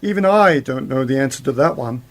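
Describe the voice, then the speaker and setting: A man's voice: mid-range voice, animated, brisk, natural voice. A man acting a commanding, principled uncle and scientist hero in a science fiction radio play, explanatory and resolute. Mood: grave, explaining.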